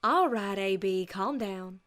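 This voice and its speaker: A woman's voice: medium pitch, slow, fairly bright tone. A woman voicing a folksy young farm pony mare in a cartoon fan story, savoring her tale as she tells it. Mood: easygoing. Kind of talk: cartoon fan fiction voice acting.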